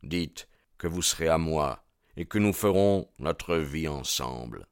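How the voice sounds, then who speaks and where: A man's voice: very deep voice, lively intonation, slow pace, clear, resonant tone, dry recording, studio quality, full character voice. A man voicing a bold, ardent young man in an audiobook, proud and insistent in his delivery. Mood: urgent pleading. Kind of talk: audiobook character dialogue.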